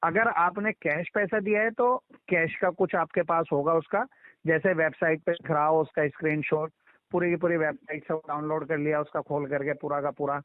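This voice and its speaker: A man's voice: on the high side, dry recording. A man explains things step by step on a recorded phone call. He is insistent and repeats his instructions. The sound is dry and narrow, like a telephone line. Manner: insistent, talks over others, repeats instructions. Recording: muffled band-limited phone call recording.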